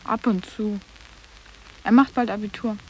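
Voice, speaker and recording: A young woman playing a guarded, sarcastic teenage schoolgirl in a radio drama, answering in clipped, deadpan phrases. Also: mid-range voice, a little slow, slight background noise, lightly acted, rough recording. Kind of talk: literary radio drama acting.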